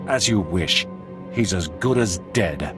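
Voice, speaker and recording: A man's voice: sits low, lively intonation, slow pace, music in the background. A man playing an obedient bodyguard commander in a video game, deferential and military in manner. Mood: dutiful. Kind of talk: scripted video game acting.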